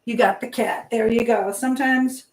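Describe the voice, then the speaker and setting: A woman's voice: on the high side, steady tone, moderate pace. A woman hosting a casual live chat, rambling as she reads out and answers viewer comments. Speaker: livestream host. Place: indoors at home, in an echoey room. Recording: echoey room.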